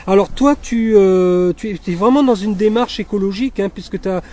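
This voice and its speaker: A man's voice: high-pitched, fairly quick, dark tone. A man from the south of France hosting a web magazine interview outdoors, chatty and hesitant with many fillers. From Fréjus, southern France. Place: outdoors at a beach cleanup.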